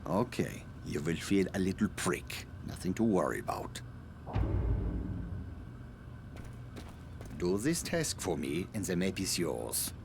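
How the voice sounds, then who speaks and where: An actor playing an evasive, self-justifying scientist in a video game, speaking formally and precisely with polite condescension. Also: male voice, sits low, sing-song, normal speed, gravelly, noisy background, exaggerated voice. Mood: coaxing. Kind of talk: dramatic video game cutscene acting.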